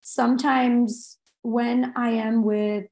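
A young woman from Los Angeles, a guest speaking softly and reflectively in a casual interview, with many fillers. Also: fairly high pitch, level tone, takes it slowly, creaky. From Los Angeles, United States. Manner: soft-spoken in English.

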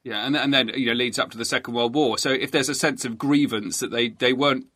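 A man's voice: mid-range voice, fairly even intonation, quick pace, pushed and bright, no room sound, studio quality. A man with a British accent hosting a history podcast, presenting and interviewing in an enthusiastic, emphatic way.

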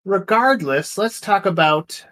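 A man's voice: sits high, expressive, unhurried, a bit bright. A man co-hosting a podcast, chatting casually with wry, playful turns of phrase. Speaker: podcast co-host. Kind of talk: casual movie podcast chat.

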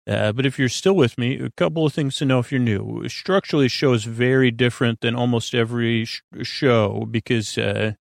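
Man, podcast host, creaky fry, dry close sound, meandering sleep monologue, halting, self-interrupting. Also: mid-range voice, no room sound.

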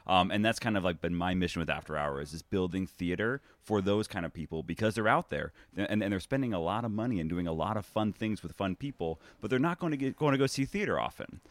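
A man guesting in a casual chat, with a loud voice and a laid-back, filler-heavy way of talking. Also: low-pitched, animated, fast talker, few pauses, no room sound. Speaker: guest, theater company member.

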